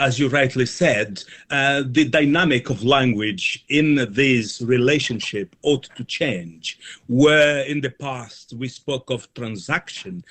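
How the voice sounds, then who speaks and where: A man speaking as a guest in a radio interview, answering in a formal, measured and wordy manner. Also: medium pitch, fairly bright tone.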